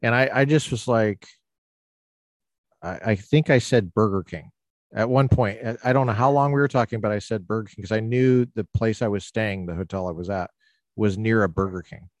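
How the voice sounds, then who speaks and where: A man hosting a podcast who tells a personal story in an animated, casual way, often restarting his sentences. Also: lowish voice, moderate pace, dry recording, dull audio. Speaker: podcast host.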